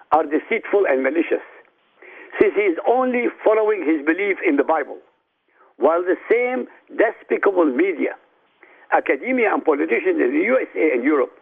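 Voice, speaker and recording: A man calls in over a tinny phone line, his raised voice gravelly and husky. He lays out his case in an emphatic, declaiming manner, pushing key words up in pitch. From Iraq. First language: Arabic. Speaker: radio guest commentator. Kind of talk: prepared political commentary.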